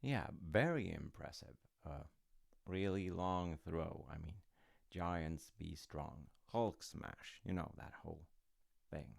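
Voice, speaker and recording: A man playing an easygoing older dad who tells his child a folktale in an intimate, musing way, with gently wry, rambling asides. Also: slow, dry recording, clean studio sound, full character voice. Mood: wry storytelling. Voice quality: low, gravelly. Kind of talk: intimate roleplay storytelling with casual rambling asides.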